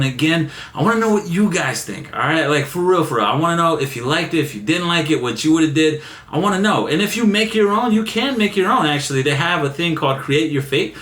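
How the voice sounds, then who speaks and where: Young male vlogger, energetic and casual, a little raspy, echoey room sound. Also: medium pitch. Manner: excited, repeats words, says man a lot. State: still a bit raspy after laryngitis. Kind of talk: casual vlog chat to camera.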